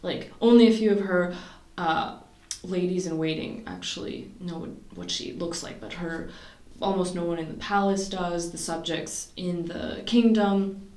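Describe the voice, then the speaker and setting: A woman's voice: on the low side, fairly even intonation, a little slow, a little mellow. A young woman from Canada talking casually to camera about her projects, chatty and rambling with many fillers and restarts. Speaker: vlogger. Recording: roomy sound, mic at a distance.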